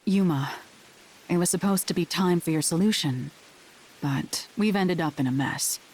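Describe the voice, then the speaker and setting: A woman playing a calm, composed young female detective in a game dub, dry and measured. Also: on the low side, slight background noise, natural voice. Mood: gentle.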